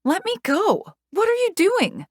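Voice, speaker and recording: A woman's voice: very high-pitched, wildly swooping pitch, a little slow, no room sound, studio quality, voice pushed up, full character voice. A woman voicing a desperate, angry young woman patient who screams and pleads. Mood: frantic.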